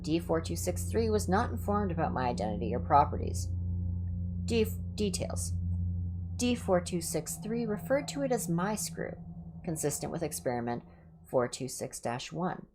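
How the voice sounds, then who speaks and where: A woman's voice: on the low side, big pitch swings, moderate pace, over music. A woman livestreamer reading horror entries aloud in an ASMR style, with casual asides.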